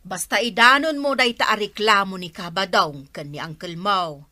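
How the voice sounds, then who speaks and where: A woman's voice: medium pitch, moderate pace, background noise, lightly acted. A woman with an Ilocano Filipino accent playing a questioning, argumentative village neighbour in a comedy skit. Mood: insistent. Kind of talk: amateur comedy skit acting.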